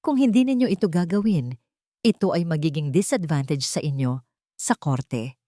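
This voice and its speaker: A woman's voice: deep voice, animated, normal speed, dark tone, no echo. A cold Filipino lawyer voiced by a woman storyteller presses hard in a formal, demanding way. It is a narrated drama performance. The recording is very dry, with no room sound. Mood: formal, pressing.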